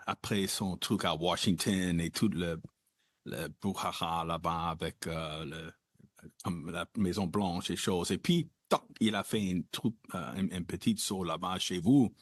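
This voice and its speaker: A man hosting a conversational interview, talking in an animated way with wide jumps in pitch. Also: measured pace, no room sound, clean studio sound. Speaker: podcast host.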